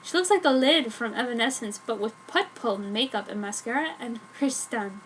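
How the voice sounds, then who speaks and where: High, bright woman reading a story. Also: expressive, normal speed, rough recording. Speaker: narrator. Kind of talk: dramatic reading of amateur fan fiction. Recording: noticeable background noise.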